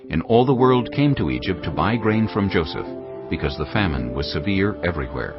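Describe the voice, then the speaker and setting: A man's voice: low voice, expressive, a little fast. A man giving scripted narration for a dramatized scripture recording. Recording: dull audio with clear music.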